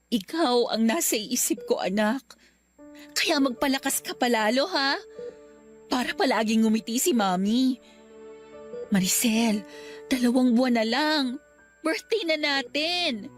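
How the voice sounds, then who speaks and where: A loving, anxious mother in a radio drama. Her voice is high and emotional, tenderly coaxing, with music faintly behind. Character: devoted, anxious mother of a sick child.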